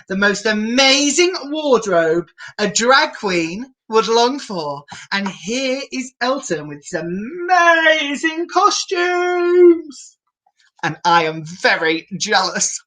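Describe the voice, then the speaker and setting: A woman's voice: on the high side, big pitch swings, slow, a bit bright. A woman reading a picture book aloud to children in a theatrical, playful manner, with asides to the viewers. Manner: theatrical, playfully self-mocking. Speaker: children's storyteller, drag queen.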